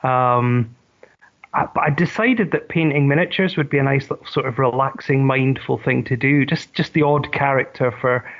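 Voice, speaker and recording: A man's voice: mid-range voice, moderate pace, muffled recording. A middle-aged man with a Scottish accent, a podcast guest chatting casually with frequent ums and restarts. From Scotland. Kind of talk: casual chat.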